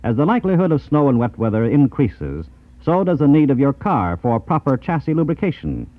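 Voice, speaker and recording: An American man reading scripted news and sponsor messages in a formal, measured 1950s radio announcer style. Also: medium pitch, big pitch swings, no echo. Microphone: dry radio studio microphone. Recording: tinny, band-limited 1950s radio tape.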